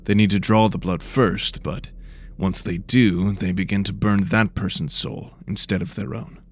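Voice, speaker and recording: A man's voice: fairly low pitch, fairly even intonation, moderate pace. A man playing a brusque investigator of magical murders in a fantasy audio drama, blunt and demanding. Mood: grim, explanatory.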